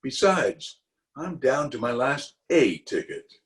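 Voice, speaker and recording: A man's voice: mid-range voice, big pitch swings, slow pace, a bit bright. A man playing a pompous, self-justifying middle-aged uncle in an old-time radio comedy, with a blustery, chuckling manner. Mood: defensive. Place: recorded remotely over a video call.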